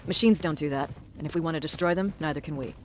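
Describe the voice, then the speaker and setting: A woman's voice: deep voice, fast, a little hiss or hum, lightly acted. Tough, curt female commander played by an actress. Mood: grave. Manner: authoritative, curt, dryly sardonic. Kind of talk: dramatic video game acting.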